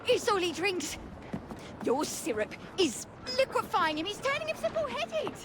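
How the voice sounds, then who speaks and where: A woman's voice: extremely high pitch, sing-song, normal speed. A woman playing an indignant, scolding city passer-by in a video game. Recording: very noisy outdoor street ambience.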